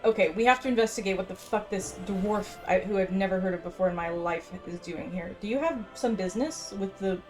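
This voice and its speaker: Woman, gaming host, casual commentary, sardonic, sweary, medium pitch, soft game soundtrack underneath. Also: a little fast, hardly any pauses. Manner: sarcastic, sweary, exasperated. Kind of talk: casual game commentary, reading quest text aloud. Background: game music.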